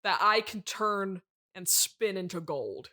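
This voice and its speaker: An actress playing an abrasive, sardonic middle-aged horror writer in a comedy mock interview, blunt, deadpan and needling. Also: female voice, medium pitch, takes it slowly. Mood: sinisterly pushy. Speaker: interviewed guest.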